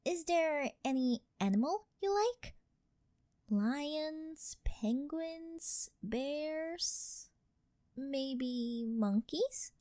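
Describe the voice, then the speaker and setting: A woman acts out a wealthy older yandere girlfriend who dotes and clings. Her voice sits high and she takes her time, sweet-talking with a possessive edge. It is an intimate ASMR roleplay with a very dry sound. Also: animated, drawn-out words, mellow, no room sound, heavily acted. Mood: coaxing, affectionate. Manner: coaxing, sweet-talking, apologetic with possessive undertone.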